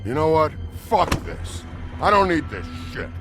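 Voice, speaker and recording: A man's voice: a little high, sing-song, unhurried. A man voicing a downtrodden, working-class bar regular in a video game, plain-spoken and defensive. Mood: defensive, upset. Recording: music under the voice.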